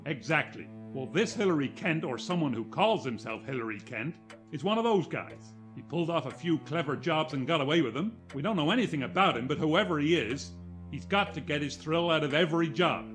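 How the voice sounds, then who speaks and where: An excitable American police inspector in a 1940s radio mystery. He is brusque and harried, pitched fairly high with lively swings. Music runs behind him. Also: brisk. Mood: grave. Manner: brusque, exclamatory. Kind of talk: 1940s radio drama acting.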